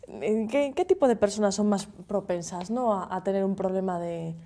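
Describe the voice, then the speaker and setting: A woman's voice: mid-range voice, normal speed, warm tone. A woman in her thirties with a Galician Spanish accent, a TV interviewer asking questions in a conversational way in a studio. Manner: conversational, asks with tag questions.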